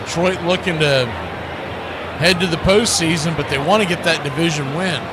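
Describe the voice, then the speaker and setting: Higher-pitched man calling baseball over loud noise. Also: normal speed. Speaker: baseball play-by-play announcer. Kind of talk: live radio play-by-play commentary. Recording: loud background noise behind the voice.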